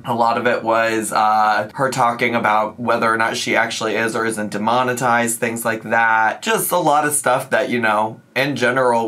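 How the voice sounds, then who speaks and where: A man in his thirties hosting a reaction video in casual, animated commentary, his voice still a bit congested. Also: mid-range voice. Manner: chatty, animated, sing-song asides. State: congested, recovering voice. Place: living room with shelves.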